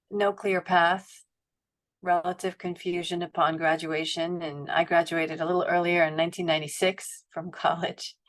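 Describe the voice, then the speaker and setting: Reflective middle-aged woman on a webinar. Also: lowish voice. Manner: reflective, roundabout, many restarts and fillers. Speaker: panelist at a family foundation. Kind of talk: webinar panel talk. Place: home.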